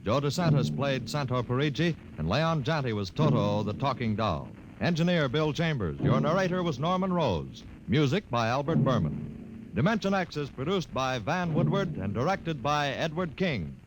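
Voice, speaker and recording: Lively announcer on very noisy old radio. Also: male voice, mid-range voice, moderate pace, highs cut off. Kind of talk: formal 1950s radio announcing.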